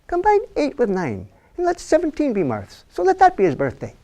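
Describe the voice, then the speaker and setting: A man doing a high, preachy old priest. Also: huge pitch swings, fairly quick, dark tone, heavily acted. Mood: scolding. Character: gruff older male Irish village priest.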